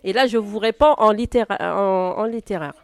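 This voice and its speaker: Hesitant woman, clear voice. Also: medium pitch, dry recording. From Algeria. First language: Arabic. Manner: hesitant, frequent filler pauses. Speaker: interview guest. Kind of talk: answering a class's questions.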